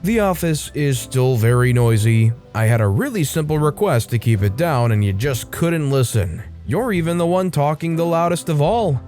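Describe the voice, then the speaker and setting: A man's voice: a little high, expressive, moderate pace, hardly any pauses, darkish tone, faint music behind, lightly acted. A man voicing a stern, condescending male company boss who lectures an employee. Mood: scolding. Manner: scolding, condescending.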